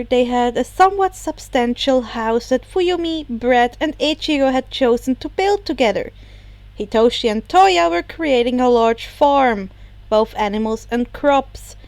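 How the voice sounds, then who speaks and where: High-voiced woman narrating, unhurried. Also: no room sound. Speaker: podfic narrator. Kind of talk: expressive scripted narration.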